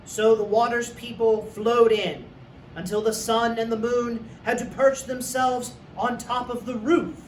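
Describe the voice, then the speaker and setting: A man's voice: very high voice, steady tone, measured pace. A man who works as a teacher, reading a story aloud for young students. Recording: echoey room, distant mic, faint noise.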